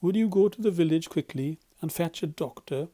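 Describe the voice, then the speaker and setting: A theatrical, unrepentant toad from a storybook, acted by a male reader. The voice is pitched up and exuberant, full of self-regard. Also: normal speed, mellow, close to an everyday voice. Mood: feigned feebleness.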